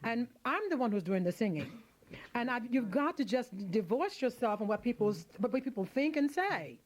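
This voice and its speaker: A woman's voice: a little high, sing-song, normal speed. Woman, middle-aged, opera singer, radio interview guest, frank, emphatic, thinks aloud, restarts sentences.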